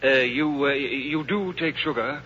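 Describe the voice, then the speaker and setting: A man's voice: mid-range voice, unhurried, pushed and bright, a little hiss or hum. An actor playing an earnest, stiff, pious missionary man in old radio drama, with solemn, formal, sometimes stammering speech. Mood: hesitant. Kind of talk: 1950s radio drama acting. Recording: dull old radio recording.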